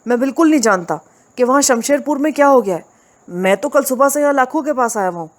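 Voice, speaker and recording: A woman's voice: sits high, animated, fast, voice pushed up. A woman doing a jittery young village suspect. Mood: pleading. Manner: pleading, protesting innocence.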